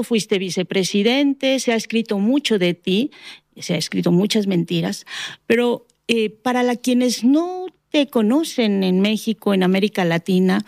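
A woman hosting an interview, asking questions in a halting way with many fillers. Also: medium pitch, a little mellow, no echo, studio quality. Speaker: interview host.

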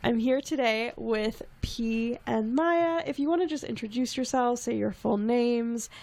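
A woman's voice: fairly high pitch, a little slow, creaky, a little mellow, soft background music. A woman hosting an interview with two artists, asking long, wordy questions in a friendly way. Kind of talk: conversational interview.

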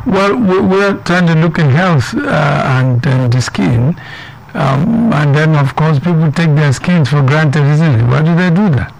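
A man's voice: a little high, animated, measured pace, gravelly, a little mellow, rough recording. A Nigerian man hosting a radio health talk show, chatting with many fillers and tag questions like "isn't it?".

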